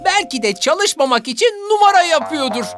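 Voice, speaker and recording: A bossy cartoon toy digger, high-pitched and very animated. The delivery is nagging and skeptical, over a music bed. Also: female voice, fairly quick. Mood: puzzled. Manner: nagging, teasing, expressive. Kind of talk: children's animated series voice acting.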